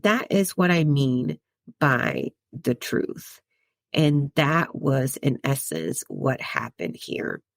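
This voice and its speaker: A woman's voice: extremely low pitch, big pitch swings, takes it slowly, vocal fry, no room sound, clean studio sound. A woman from the Dominican Republic hosting her own podcast, talking through her thoughts in a reflective monologue and often checking in with "right?". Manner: conversational, tags points with "right?", chuckles between thoughts. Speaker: podcast host.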